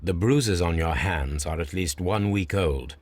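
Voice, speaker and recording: A man voicing a composed, probing Victorian gentleman detective with an English accent and a formal, courteous, measured delivery in a video game. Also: low-pitched, no room sound. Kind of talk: video game cutscene acting.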